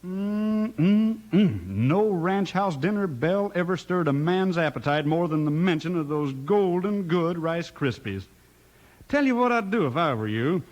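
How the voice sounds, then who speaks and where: A man with an American accent giving a hearty, folksy cowboy-style sales pitch as a 1950s radio announcer. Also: animated, unhurried, a little mellow, lo-fi sound. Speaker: announcer delivering sponsor advert. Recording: old radio broadcast recording.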